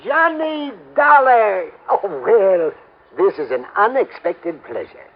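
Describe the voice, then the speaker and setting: Fretful, eccentric old man, animated. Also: high-pitched, slow, exaggerated voice. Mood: flustered, contrary. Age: older. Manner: muttering, exclaiming, contrary, repeats himself. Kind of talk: old-time American radio drama acting. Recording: muffled vintage radio recording.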